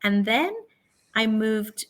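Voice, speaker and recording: Woman, 40s, online conversation tutor, bright high register, patient and encouraging. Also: expressive, slow. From England. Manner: patient, encouraging, repeats the learner's answers back. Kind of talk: casual conversation lesson.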